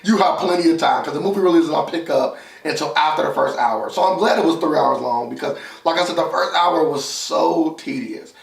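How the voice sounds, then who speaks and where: A man with a slightly high, creaky voice chats through a movie review. He is opinionated and emphatic, repeating phrases to drive points home. The sound is echoey, as if he sits back from the mic. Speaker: film reviewer and co-host. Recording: roomy echo, distant from mic.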